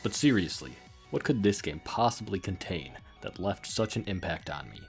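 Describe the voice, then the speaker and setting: A man with a low, deep voice narrates a scripted video essay. He sounds like a host presenting to viewers, with music playing faintly underneath. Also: animated, normal speed, creaky.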